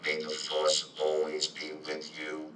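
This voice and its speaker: A synthetic male robot voice delivering a friendly, corny scripted monologue in a stiff, deadpan manner. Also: rumbling low, a little slow, distant mic. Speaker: robot character presenter. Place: computer speaker playback in a room. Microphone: distant handheld camera mic. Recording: echoey, noisy playback filmed from off.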